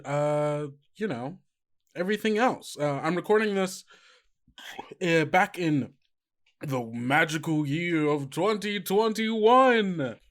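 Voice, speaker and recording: A man hosting a podcast as game master, chatting casually in a playful, self-deprecating, rambling way. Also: a little high.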